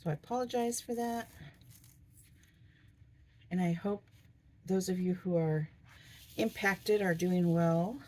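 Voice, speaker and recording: A middle-aged woman with a hoarse voice, chatting casually and thinking aloud as she works on a diamond painting. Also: on the low side, takes it slowly, long pauses, a little mellow. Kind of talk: casual crafting vlog chat.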